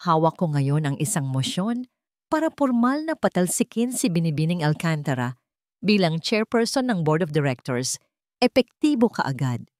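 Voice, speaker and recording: A woman's voice: deep voice, sing-song, moderate pace, darkish tone, no room sound, studio quality. A woman voicing a scheming, falsely solemn male corporate rival in a dramatic audio story. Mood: pompous, smug.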